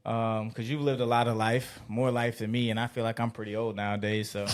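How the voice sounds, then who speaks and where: A man's voice: on the low side, flat delivery, a little fast. A man in his early thirties hosting a podcast, chatting casually, rambling through stories and laughing often. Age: 30s. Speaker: podcast host. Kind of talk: casual chat.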